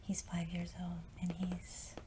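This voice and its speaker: A woman whispering softly in an ASMR video, telling a story with frequent ums. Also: fairly low pitch, monotone, takes it slowly, background noise. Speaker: ASMR creator. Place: Los Angeles.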